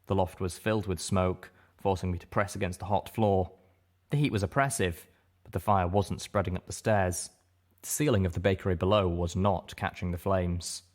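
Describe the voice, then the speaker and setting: Male audiobook reader, lowish pitch, dramatic first-person storytelling. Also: fairly even intonation, normal speed, a little mellow.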